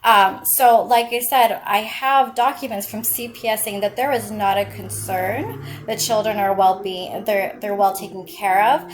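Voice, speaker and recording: A woman's voice: medium pitch, steady tone, unhurried, slight background noise. A woman calling to file a complaint on a recorded phone call, speaking in an insistent, persistent way. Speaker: caller filing a complaint.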